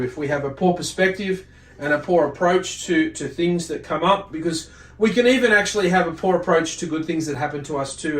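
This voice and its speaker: A man giving an informal religious lesson, speaking in a halting, deliberate way and often correcting himself as he explains. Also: on the high side. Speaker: religious teacher. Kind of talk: informal religious lecture, reading and explaining from a book. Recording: roomy echo, distant microphone.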